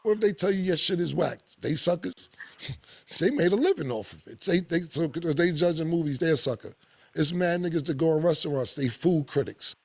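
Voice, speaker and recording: A man, hosting a podcast with blunt, animated opinions and a lot of swearing. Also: a little fast, breathy edge, dry recording. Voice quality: deep voice. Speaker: podcast host. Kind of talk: casual opinionated podcast talk.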